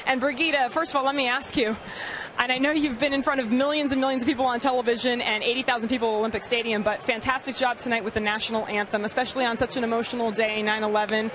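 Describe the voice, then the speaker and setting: A woman's voice: a little high, steady tone, fast talker. An American woman working as a sideline reporter, doing a live sports interview. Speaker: sideline reporter interviewing a guest at a baseball game. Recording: clear outdoor stadium noise behind. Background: outdoor ballpark ambience.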